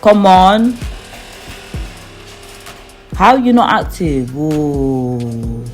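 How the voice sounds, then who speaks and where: A woman's voice: sits low, big pitch swings, extremely slow, darkish tone. A woman with a London accent chatting casually and laughing a lot, using plenty of slang with strangers on a video app, with music playing behind her. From East London. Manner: slangy, laughs often, talks to viewers and strangers. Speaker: YouTuber.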